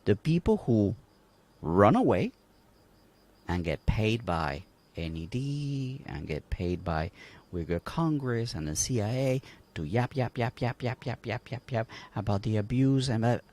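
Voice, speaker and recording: Emphatic Colombian man, lively pitch. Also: a little slow. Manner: emphatic, rhetorical questions. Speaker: panel guest. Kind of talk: livestream panel discussion.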